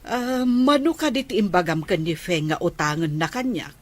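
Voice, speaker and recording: A woman's voice: lowish voice, lively intonation, moderate pace. A woman with an Ilocano accent playing a village auntie who lends money, in a family drama. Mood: pressing. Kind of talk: amateur Ilocano radio-style family drama.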